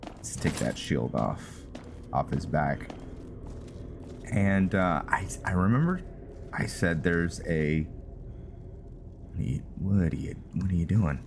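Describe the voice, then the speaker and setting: A man hosting a gaming channel, giving casual roleplay commentary in a halting way, thinking aloud as he plays. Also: low-pitched, animated, vocal fry, background noise.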